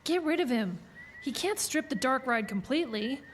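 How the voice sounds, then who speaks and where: An actress playing an ambitious young carnival worker in a horror audio drama, blunt, insistent and plain-spoken. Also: female voice, high-pitched, expressive, normal speed, a little hiss or hum. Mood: insistent, pleading.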